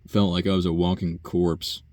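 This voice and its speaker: A young man talking through a rambling, casual personal monologue, profane and full of fillers and sighs, with a low buzzy voice. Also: little pitch movement, moderate pace, darkish tone, no echo. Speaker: vlogger.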